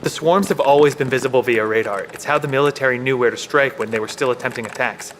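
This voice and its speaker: An earnest, protective man who knows his equipment, played by an actor in an audio drama. He has a medium-pitched voice over faint background noise. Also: quick pace, lightly acted. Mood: puzzled. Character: earnest, protective, technically minded male survivor.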